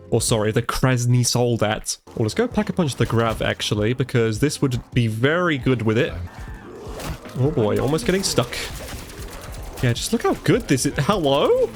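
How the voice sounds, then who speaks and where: A man giving casual live commentary over gameplay, a bit congested from a cold, chatty and reacting out loud as he plays. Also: mid-range voice. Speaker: gaming commentator.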